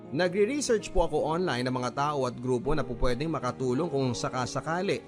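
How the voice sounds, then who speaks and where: A man narrating a listener's letter in first person, in a measured, earnest storytelling manner. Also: medium pitch. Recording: clear music bed underneath.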